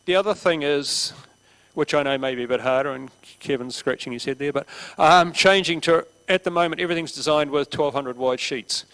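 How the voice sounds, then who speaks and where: A man's voice: a little high, a little fast, a bit bright, poor audio. Plain-spoken man at a seminar. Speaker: seminar speaker. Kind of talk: casual seminar talk.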